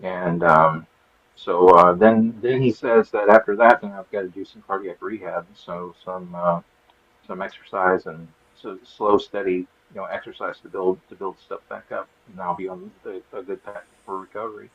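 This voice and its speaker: Host, male, low husky voice, halting rambling talk, muffled audio. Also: steady tone, a bit bright. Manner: rambling, halting, full of fillers and restarts. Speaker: show creator and host. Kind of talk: casual chat on a live show.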